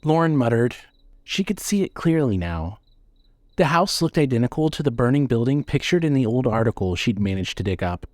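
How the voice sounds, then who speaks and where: A man narrating a scripted horror story in a measured, suspenseful way. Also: mid-range voice, sing-song, darkish tone, no room sound.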